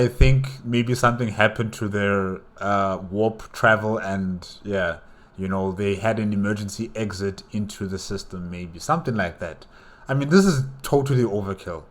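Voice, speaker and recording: Low-voiced man thinking aloud. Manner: hesitant thinking aloud, repeating words. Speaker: reaction host. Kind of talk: casual reaction commentary.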